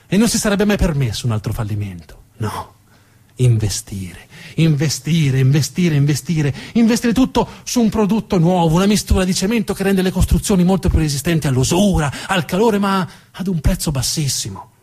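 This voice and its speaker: A man's voice: on the high side, a little mellow, slightly rough. A man voicing a proud male company heir turned chief executive, emphatic and given to repeating his words, in a stage monologue.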